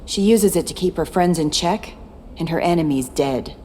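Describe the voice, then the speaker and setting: A woman's voice: fairly low pitch, moderate pace, mellow, a little hiss or hum, lightly acted. A woman playing a tough, cynical former security chief in video game dialogue, blunt and guarded. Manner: blunt, matter-of-fact.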